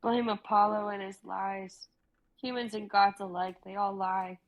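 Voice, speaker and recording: A vengeful giant, played by a woman in a live script reading, speaks in a medium-pitched voice. The delivery is menacing and declamatory, full of contempt for humans and gods alike. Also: flat delivery, measured pace, lightly acted.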